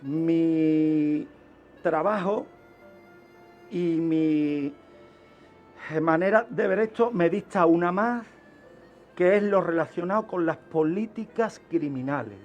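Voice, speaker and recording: Spanish guy, high voice, talks slow like teaching, asks then answers, faint music behind. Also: highs cut off. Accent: Spanish, from Spain. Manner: didactic, poses questions and answers them. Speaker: criminologist guest. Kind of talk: explanatory radio talk.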